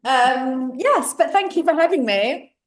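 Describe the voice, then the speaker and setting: A woman from London with a strong London accent, a cookery teacher chatting as a podcast guest, enthusiastic and quick to laugh, with many restarts. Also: sits high, lively intonation, distant mic. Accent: London English. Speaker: guest, cookery teacher and self-love coach. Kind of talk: casual podcast interview.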